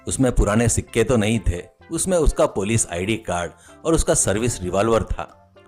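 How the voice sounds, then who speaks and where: A man's voice: lowish voice, lively intonation, normal speed, darkish tone. A man narrating a scripted comic moral story as its storyteller. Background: music.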